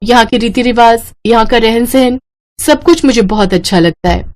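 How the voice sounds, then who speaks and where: A woman's voice: fairly high pitch, normal speed, voice pushed up, natural voice. Woman as an earnest young daughter-in-law. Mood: polite, eager. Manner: respectful, earnest. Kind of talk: acted Hindi moral story dialogue.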